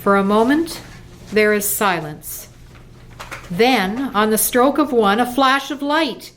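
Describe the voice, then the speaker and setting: A woman's voice: fairly high pitch, slow pace, slight background noise. A woman giving scripted narration for a dramatic radio play.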